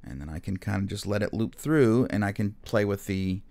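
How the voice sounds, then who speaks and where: A man instructing in music software in a casual, unscripted and slightly hesitant explanatory way, as a screen tutorial. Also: fairly low pitch, fairly quick.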